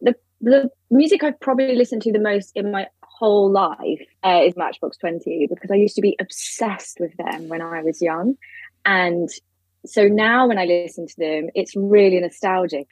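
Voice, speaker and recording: Husky woman chatting casually as a guest. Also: mid-range voice, moderate pace, darkish tone, no room sound, rough recording. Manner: informal, candid, self-deprecating. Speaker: guest expert, legal academic. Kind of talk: casual chat.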